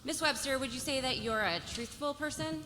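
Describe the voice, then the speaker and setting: Bright, cutting cross-examining lawyer, hall reverb. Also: female voice, sits high, a little fast. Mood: accusing. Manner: probing, pointed. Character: sharp, relentless female opposing lawyer cross-examining a witness. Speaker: actor. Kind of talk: live table read of a TV legal drama. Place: festival stage in a large hall. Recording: hall reverb with faint noise, live stage.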